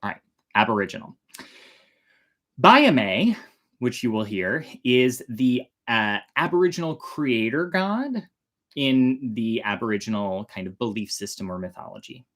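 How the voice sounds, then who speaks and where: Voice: medium pitch, very animated, a little slow, a bit bright. A storyteller hosting a show, chatting informally to viewers and explaining from notes with loose, hedging phrasing. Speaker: host and storyteller. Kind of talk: casual explanatory chat to viewers, reading from notes.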